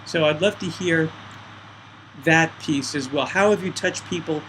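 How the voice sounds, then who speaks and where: Male interviewer, a bit high-pitched, rambling and effusive, faint noise behind. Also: unhurried, a bit bright, poor audio. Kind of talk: casual interview.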